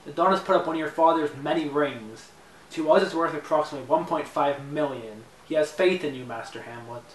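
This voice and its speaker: A man's voice: a little high, fairly quick, lightly acted. A young man playing a polite, deferential advisor who talks formally, as if giving a briefing, recorded in a living room. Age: young adult. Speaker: actor in an amateur mob drama. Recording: roomy room audio from a far camera, faint hiss.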